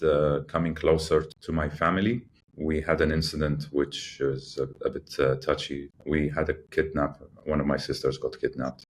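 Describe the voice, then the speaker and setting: A man in his forties from Iraq, a podcast guest speaking in a measured, hesitant way with many fillers and restarts. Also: a bass voice. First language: English learned first, also Arabic. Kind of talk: reflective interview talk.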